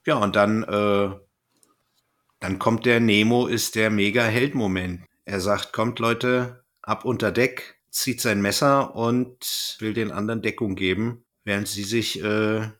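A man's voice: lowish voice, steady tone, unhurried, fairly bright tone. A man hosting a podcast, chatting casually as he recaps a story. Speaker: podcast host. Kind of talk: casual podcast chat, recapping an audio drama.

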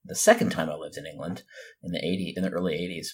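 Husky, low-pitched man chatting. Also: steady tone, quick pace. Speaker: podcast co-host. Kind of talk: casual podcast discussion.

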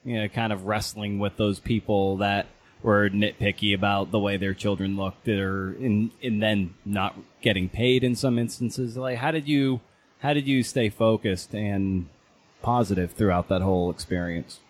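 A man hosting a podcast interview, asking questions in halting, thoughtful phrases. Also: on the low side, fairly even intonation. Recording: clearly noisy background ambience.

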